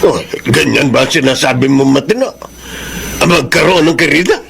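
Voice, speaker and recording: A man playing an indignant, scolding older father in a radio melodrama, with a gravelly voice. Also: medium pitch, animated, normal speed, fairly bright tone. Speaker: radio drama actor. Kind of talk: melodramatic radio drama acting. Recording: clear background noise.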